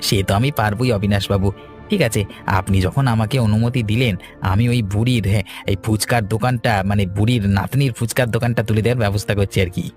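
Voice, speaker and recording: A man's voice: on the low side, fairly even intonation, quick pace, darkish tone, faint music behind, natural voice. A man playing a sly, argumentative village troublemaker in a cartoon, insistent in his manner. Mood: sly, obliging. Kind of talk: Bengali cartoon story acting.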